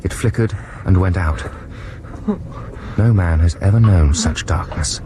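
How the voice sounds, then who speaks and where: A very deep, deadpan adventurer narrator. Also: male voice, a little slow. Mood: grave. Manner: deadpan, formal, understated. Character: adult Axel, wry narrator recounting his youthful ordeal. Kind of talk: first-person dramatic narration. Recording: noisy 1990s radio drama broadcast.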